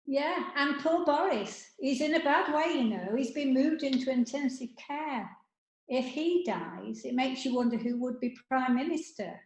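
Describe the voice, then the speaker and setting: A woman's voice: high voice, measured pace. A woman playing a chatty, matter-of-fact older friend in an amateur comedy sketch. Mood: glum, resigned. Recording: echoey room.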